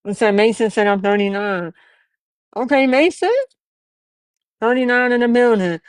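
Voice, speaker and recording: A man's voice: sits high, normal speed, clean tone, no room sound. A man in his twenties hosting a podcast, earnest and encouraging, telling stories from his own start as a barber. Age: 20s. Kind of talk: casual interview chat.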